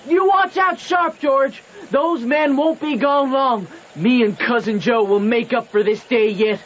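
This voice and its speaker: A man's voice: very high-pitched, a little slow, a bit bright. A man playing a hot-headed feuding boy with a Southern accent, fierce and defiant, in an old radio drama. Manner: fierce, defiant country talk. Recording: muffled old radio, faint noise.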